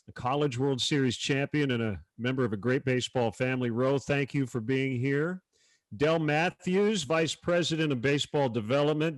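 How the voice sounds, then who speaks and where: A man's voice: mid-range voice, dry recording. A man working as an announcer, hosting a virtual sports panel discussion. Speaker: panel host, baseball announcer.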